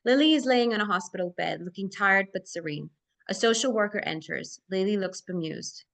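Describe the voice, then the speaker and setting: A woman's voice: medium pitch, a little fast, gravelly, fairly bright tone. Woman narrating screenplay stage directions. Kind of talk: scripted narration, screenplay table read.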